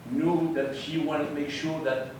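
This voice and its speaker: An older man with a Belgian accent, Dutch and French in his vowels, addresses an audience with animated, wry storytelling. His voice sits a little high. He is picked up from a distance in a very echoey room, with audible background noise. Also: a little slow. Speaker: eyewitness speaker.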